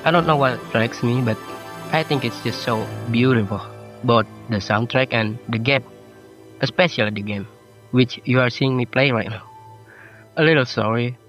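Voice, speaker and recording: A man's voice: medium pitch, normal speed. A teenage male YouTuber from Indonesia with an Indonesian accent, speaking English as a second language in a reflective, self-deprecating personal narration. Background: game soundtrack music.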